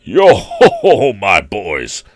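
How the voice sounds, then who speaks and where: A man voicing a jovial, boisterous fat old gentleman in a storybook, calling out in a rich, oily voice. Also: on the low side, wildly swooping pitch, very slow, dry recording, studio quality, full character voice. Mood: jovial, hearty. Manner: jovial, exclamatory, calling out heartily. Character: jolly, boisterous fat old gentleman, hearty warehouse master. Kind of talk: storybook character voice.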